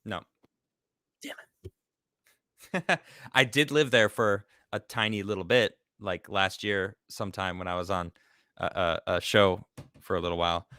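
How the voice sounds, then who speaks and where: A man from Chicago hosting a casual live-stream talk show. He laughs often and reads viewer comments aloud in a lively voice. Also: low voice, a little slow, long pauses, no room sound. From Chicago, United States.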